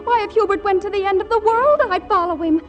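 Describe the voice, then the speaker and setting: A woman's voice: very high voice, fast talker. A woman acting a lovesick, dramatic young lady in a 1940s radio comedy. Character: lovesick young lady and indignant Irish maid. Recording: old radio broadcast with music.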